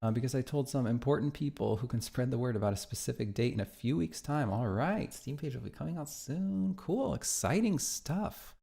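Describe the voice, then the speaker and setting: A man's voice: medium pitch, expressive, moderate pace, gravelly, hardly any pauses, warm tone, slightly rough. A man streaming his game development live, chatting casually, thinking aloud and reacting to viewer chat.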